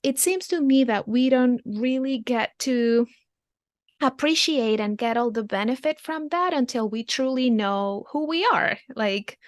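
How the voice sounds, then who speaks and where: A woman's voice: on the high side, lively intonation, unhurried, dry recording, clean studio sound. A young adult woman, a therapist, talks through ideas thoughtfully as an interview guest, often restarting and asking questions back. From immigrant. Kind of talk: reflective interview conversation.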